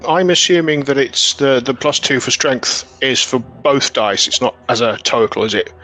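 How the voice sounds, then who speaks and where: A man's voice: medium pitch, normal speed, pushed and bright, low-quality recording. A man talking casually as a player in a tabletop role-playing game, music playing behind him.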